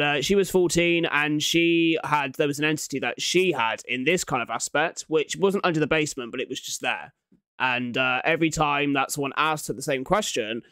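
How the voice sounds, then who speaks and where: A man's voice: a little high, fairly bright tone, clean tone, no room sound. A young man giving casual reaction commentary in slangy, sweary language, recorded close on a desk microphone at home. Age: young adult. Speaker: reaction video host. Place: small room at home, indoors. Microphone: large desk microphone, close up.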